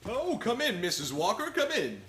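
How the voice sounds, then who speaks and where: Radio drama: man as proud, excited store boss, off-mic in a roomy space, somewhat high. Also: moderate pace, distant mic, full character voice. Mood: pleased, excited. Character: excited, self-satisfied male department store boss. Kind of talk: radio play acting.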